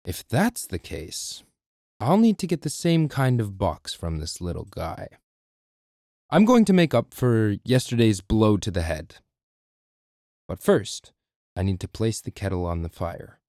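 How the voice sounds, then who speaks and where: A man voicing a rough, scheming overseer who talks bluntly. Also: lowish voice, sing-song, measured pace, a little mellow, dry recording, studio quality.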